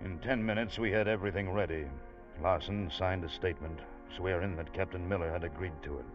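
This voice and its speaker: An actor playing an earnest, anxious ship's officer who narrates a sea tale in a vintage radio drama. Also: male voice, sits low, steady tone, a little fast, over music, lightly acted. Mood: tense, recounting. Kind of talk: 1940s-50s radio drama narration and acting. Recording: muffled vintage radio recording.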